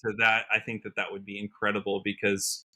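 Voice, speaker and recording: Bright-voiced young American man chatting. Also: fairly low pitch, completely flat delivery, a little fast. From Washington state, United States. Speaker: podcast guest, country musician. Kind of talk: casual interview chat.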